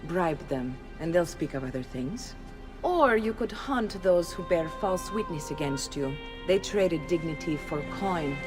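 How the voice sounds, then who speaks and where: An actress playing a composed courtesan mentor who gives measured advice. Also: female voice, on the low side, music in the background. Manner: calm, measured, advising. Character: composed female courtesan mentor, worldly and calm.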